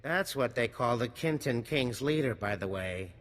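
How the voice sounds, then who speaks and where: Man voicing a sharp, bright wisecracking arms dealer. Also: mid-range voice, animated, normal speed, big-room sound, close to an everyday voice. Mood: sardonic. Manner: slick, sardonic salesman patter. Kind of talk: video game cutscene acting.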